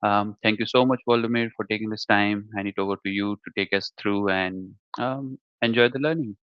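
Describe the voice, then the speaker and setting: Low, flat-voiced man hosting a webinar. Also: brisk, smooth, dry recording, highs cut off. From India. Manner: hesitant, many fillers. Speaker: developer group leader and host. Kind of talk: webinar introduction.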